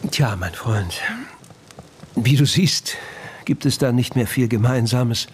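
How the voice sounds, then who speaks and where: An actor playing an opinionated gentleman scientist in a radio play, self-assured and dismissive but courteous. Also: medium pitch, takes it slowly, close to an everyday voice. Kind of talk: radio drama acting. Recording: faint background noise.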